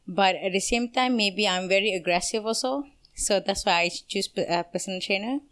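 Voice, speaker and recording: A Malaysian woman, a personal trainer, answering interview questions in an energetic, strong voice, mixing Malay and English. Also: medium pitch, normal speed.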